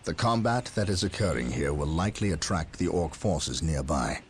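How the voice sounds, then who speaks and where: An actor playing a stern, resolute space marine captain in a video game, giving formal orders with authority. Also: male voice, extremely low pitch, animated, normal speed, slight background noise. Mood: commanding. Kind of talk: dramatic game voice acting.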